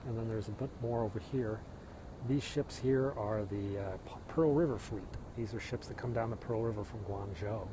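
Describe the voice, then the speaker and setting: A man's voice: lowish voice, gravelly, noisy background. A middle-aged man with a Midwestern American accent, chatting casually to viewers as he livestreams a walk on a hillside trail and points out the sights. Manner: chatty, tour-guide pointing out sights, answers viewer comments. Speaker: live-streaming vlogger. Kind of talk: casual walking livestream chat. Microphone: phone held while walking. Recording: phone recording outdoors with loud cicadas. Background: insects and cicadas.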